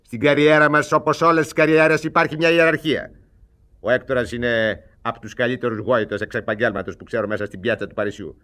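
An actor playing an older boss of thieves in a radio comedy, lecturing pompously and stammering in exasperation. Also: male voice, medium pitch, steady tone, bright, forceful tone, natural voice. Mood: pompous, instructing. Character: scheming, blustering older male thief, boss of bungling apprentices. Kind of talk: radio theatre comedy acting.

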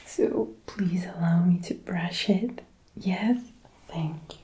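A young woman whispering breathily in a gentle, reassuring ASMR nurse roleplay, close on a binaural microphone. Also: fairly low pitch, slow. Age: young adult. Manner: gentle, reassuring personal attention. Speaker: ASMR creator role-playing a school nurse. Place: small decorated indoor room. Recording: clear background noise, handling clicks.

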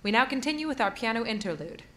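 A woman's voice: a little high, expressive, quick pace, music in the background, lightly acted. A woman playing a formal, composed studio announcer in a 1930s radio drama.

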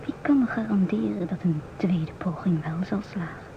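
An actress playing a musing, independent stewardess in her twenties in a radio drama, speaking in a hushed, often near-whispered voice. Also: on the low side, normal speed. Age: 20s. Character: musing, independent 27-year-old divorced ship stewardess. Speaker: narrator and stewardess. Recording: muffled old radio recording, clear hiss.

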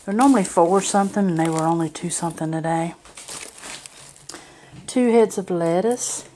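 Woman doing a casual haul video at home, kinda low voice, bit of package crinkle noise. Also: moderate pace, mellow. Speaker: home vlogger. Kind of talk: casual grocery haul narration. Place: indoors at a kitchen or dining table. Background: crinkling packaging, clicks, background noise.

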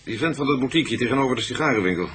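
An actor playing a shrewd, brisk police chief inspector in a radio crime drama, dry, probing and authoritative. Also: male voice, medium pitch, expressive, a bit bright, natural voice. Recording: noisy old radio drama recording.

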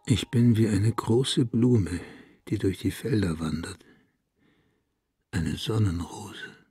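A man voicing a deranged, childlike and dreamy madman in an audio drama, talking to himself in a raspy voice. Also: lowish voice, dark tone, soft background music. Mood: dreamy, blissful. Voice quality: raspy, husky, creaky. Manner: slow, rambling talk to himself. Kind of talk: German audio drama of a literary story.

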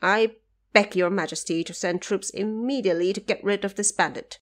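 A woman's voice: medium pitch, moderate pace, lightly acted. A woman voicing a dignified, resolute older prime minister, a man of formal and solemn speech, in an audio drama.

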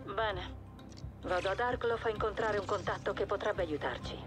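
An actress playing a composed, businesslike intelligence officer in a military game, crisp and authoritative. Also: female voice, mid-range voice, normal speed, music in the background, lightly acted. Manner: crisp, matter-of-fact, authoritative. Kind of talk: cinematic military game acting.

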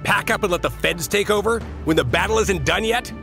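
Casting: gruff game mercenary leader, creaky, sardonic, blunt, with music clearly behind. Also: male voice, sits high, a little fast. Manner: blunt, sarcastic. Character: gruff, cynical male mercenary squad leader. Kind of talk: video game character acting.

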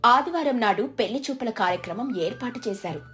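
A woman's voice: a little high, expressive, brisk, fairly bright tone, soft background music. A woman narrator reading a scripted moral story.

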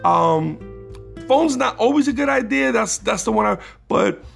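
Raspy, gravelly man hosting a podcast. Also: extremely high pitch, expressive, measured pace, a bit bright, faint music behind. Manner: rambling with many restarts, growing emphatic. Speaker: podcast host. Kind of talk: casual solo podcast monologue.

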